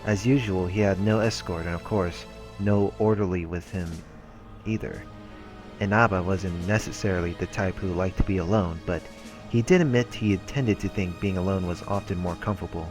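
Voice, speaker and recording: Deep-voiced man reading game text. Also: normal speed. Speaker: let's play host. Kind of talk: reading visual novel text aloud with casual commentary. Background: game background music.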